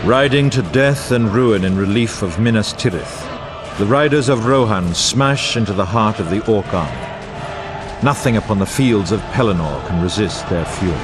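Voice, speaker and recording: A man's voice: on the low side, expressive, moderate pace, lightly acted. Male actor doing a grave epic fantasy game narrator guy, portentous and formal, really dramatic rises and falls, music playing behind. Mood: grave, stirring. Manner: grandiose, portentous, formal delivery. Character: solemn, grave epic war chronicler, male. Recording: orchestral music bed under voice.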